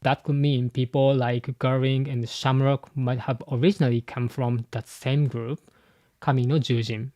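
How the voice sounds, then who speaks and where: A man hosts his channel, walking through his points in a methodical, scripted way. His voice sits at an ordinary middle pitch. The sound is close and dry, with no room echo. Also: little pitch movement, moderate pace, mellow. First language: Japanese. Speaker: YouTube host and translator. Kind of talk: scripted commentary.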